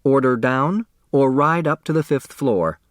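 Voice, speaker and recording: A man narrates a novel in a mid-range voice. His scripted reading is expressive and follows the characters' thoughts, recorded very dry. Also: medium pitch, a little slow, no echo. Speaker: audiobook narrator.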